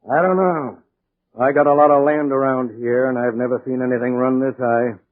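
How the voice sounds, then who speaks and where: An American actor playing a big, seasoned, skeptical but good-natured mining operator in a 1940s radio drama. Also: male voice, medium pitch, fairly even intonation, normal speed, close to an everyday voice. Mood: wary, probing. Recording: tinny narrow-band 1940s radio recording.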